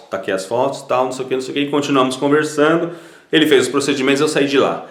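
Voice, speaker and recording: Man, Brazilian Portuguese accent, chatty advice vlog, lively pitch, echoey room. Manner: animated, conversational, repeats words as he restarts. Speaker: Italian citizenship consultant. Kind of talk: casual advice vlog talking to viewers.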